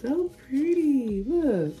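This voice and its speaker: A woman's voice: high voice, very animated, extremely slow, faint music behind. A woman in her thirties chatting casually to her viewers from her living room, recovering from being sick, saying "y'all" a lot and gushing over gifts. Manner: chatty, says "y'all" often, warm exclamations over gifts. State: recovering from illness. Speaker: vlogger. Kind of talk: casual vlog chat. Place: living room at home, indoors.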